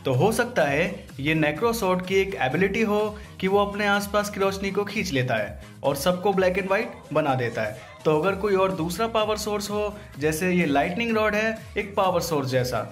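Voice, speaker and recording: A man's voice: sits high, lively intonation, normal speed, hardly any pauses, soft background music. A man hosting a voice-over breakdown in an informal, slangy way, as commentary for viewers. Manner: informal, crude slangy joking. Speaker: video host.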